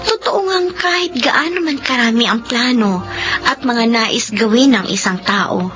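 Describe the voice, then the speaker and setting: A woman's voice: on the high side, a little slow, natural voice. A woman playing an earnest, dutiful young student in a Filipino radio drama. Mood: wistful, earnest. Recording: muffled radio broadcast with music.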